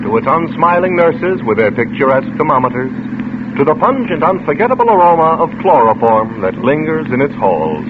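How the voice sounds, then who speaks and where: An actor playing a glib, flippant charmer under suspicion in a vintage radio drama, with breezy sarcastic banter. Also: male voice, mid-range voice. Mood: playful, flirtatious. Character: glib, charming, flippant male suspect, smoothly teasing. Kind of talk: 1940s-50s radio drama acting. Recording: noisy, tinny old radio recording.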